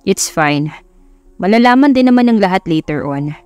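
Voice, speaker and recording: A woman's voice: mid-range voice, expressive, moderate pace, a little mellow, clean tone, faint music behind, lightly acted. A woman voicing a smug, teasing young husband in an audio love story, flippant and mixing English into Tagalog. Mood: curt, firm.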